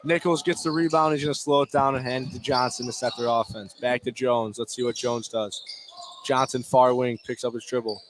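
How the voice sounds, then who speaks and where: A man doing live play-by-play radio commentary of a high school basketball game in clipped running phrases. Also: fairly even intonation, fairly quick, slight background noise.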